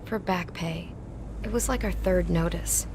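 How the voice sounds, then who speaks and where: A woman's voice: fairly low pitch, measured pace, noisy background, lightly acted. A woman playing an anxious, embarrassed young woman in a video game, hesitant and apologetic. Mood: ashamed, pleading.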